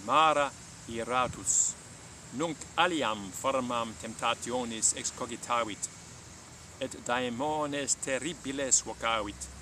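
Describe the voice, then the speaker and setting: Bright-voiced man, slightly distant mic. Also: medium pitch, slow, a little hiss or hum. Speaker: Latin teacher and live stream host.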